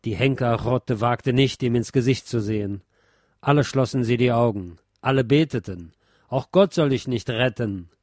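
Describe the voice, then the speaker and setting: A man giving a scripted literary talk and reciting poetry in a measured, deliberate, declamatory way, like a radio presenter. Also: medium pitch, darkish tone, no room sound, studio quality.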